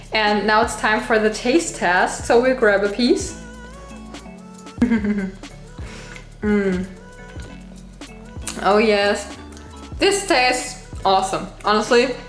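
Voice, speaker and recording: A woman's voice: on the high side, a bit bright, roomy sound. A woman from Germany with a German accent, walking through a recipe in a chatty way and laughing at her own slips. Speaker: cooking channel host. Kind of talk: recipe walkthrough vlog.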